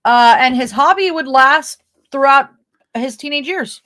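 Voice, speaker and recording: Female true-crime podcast co-host, higher-pitched, informal, shifts between reading notes and casual banter. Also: a little slow, sharp tone.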